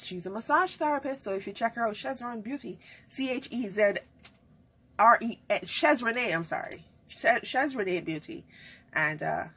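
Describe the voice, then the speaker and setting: Husky, bright woman host. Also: medium pitch. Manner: chatty, greets viewers, laughs often. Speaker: host of a live online talk show. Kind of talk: casual livestream talk.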